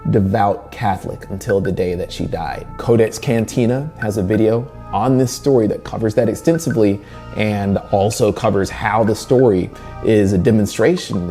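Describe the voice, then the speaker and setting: A man hosting a literature channel, narrating a scripted video essay with dramatic pauses and emphasis. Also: fairly low pitch, warm tone. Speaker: host of a horror literature channel. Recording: music bed behind the voice. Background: background music.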